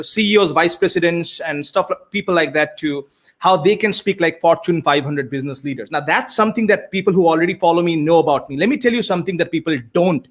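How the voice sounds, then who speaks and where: Indian coach, male, Bengali native speaker, earnest storyteller, filler-heavy, slightly raised pitch. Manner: earnest, many fillers and repeated starts. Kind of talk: conversational storytelling.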